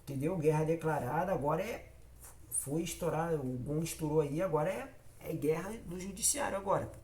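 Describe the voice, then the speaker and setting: A man's voice: a little high, moderate pace, a little mellow. A man from Rio with a Carioca accent talks straight to his viewers in a casual fan vlog. He has a cold and his voice comes out nasal. He repeats himself for emphasis, hammering each point home. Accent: Carioca Brazilian Portuguese. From Rio de Janeiro, Brazil. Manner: repetitive and emphatic, addresses viewers directly. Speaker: football fan channel host.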